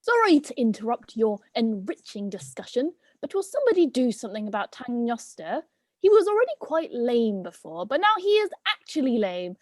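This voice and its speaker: A woman's voice: fairly high pitch, big pitch swings, moderate pace, no echo. A woman playing a pompous, theatrical talking goat, indignant and wordy, in an amateur myth play reading.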